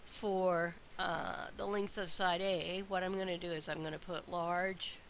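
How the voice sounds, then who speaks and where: A woman math instructor recording a lecture while congested from a sinus infection, her voice weak and stuffy, explaining slowly with frequent uhs and ums. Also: fairly low pitch, fairly even intonation. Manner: slow, methodical explaining with frequent uh and um. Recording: faint hiss.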